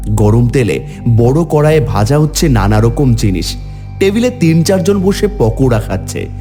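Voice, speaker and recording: A man's voice: very animated, normal speed, dark tone, faint music behind, close to an everyday voice. A man playing a village man who tells his own horror story dramatically in a cartoon. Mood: grave narration. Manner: theatrical, rising into high pleading. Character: frightened, hungry village man telling his ghost tale. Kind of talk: dramatic first-person horror story narration.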